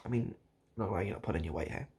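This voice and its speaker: A man improvising a comic monologue straight to the listener, halting and repeating himself in a mock-earnest, absurd way. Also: low voice, warm tone, husky. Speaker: online video host.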